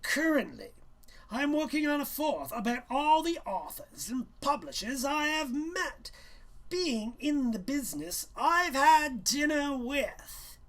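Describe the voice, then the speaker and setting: A man's voice: extremely high pitch, very animated, slow pace, a bit bright, voice pushed up, heavily acted. A man voicing a stern, vain male schoolteacher in a storybook reading, speaking in a pompous, condescending and emphatic way.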